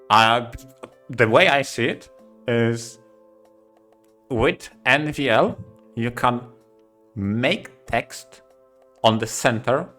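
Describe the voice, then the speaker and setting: A man in casual podcast chat as a co-host, speaking haltingly and often repeating words as he forms his thoughts. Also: medium pitch, fairly bright tone.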